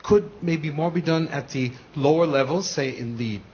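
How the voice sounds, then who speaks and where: A man's voice: fairly high pitch, moderate pace, a little mellow. A man, a lecturer in the audience, earnestly putting a long question to the panel with many restarts. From United States. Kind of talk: question from the floor. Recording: muffled broadcast with faint hiss.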